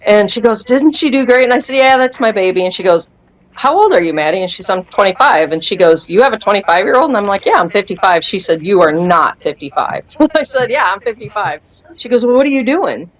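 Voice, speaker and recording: A woman's voice: mid-range voice, a little fast, low-quality recording. A woman in her fifties telling her story in a casual interview, acting out what people said and laughing often. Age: 50s. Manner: animated storyteller who acts out quoted dialogue and laughs often. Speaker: guest sharing a testimony.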